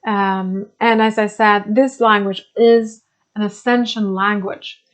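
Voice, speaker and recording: A woman whose first language is Swedish, explaining spiritual ideas in English with many pauses, fillers and restarts. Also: medium pitch, steady tone. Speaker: spiritual teacher. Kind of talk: unscripted explanatory talk.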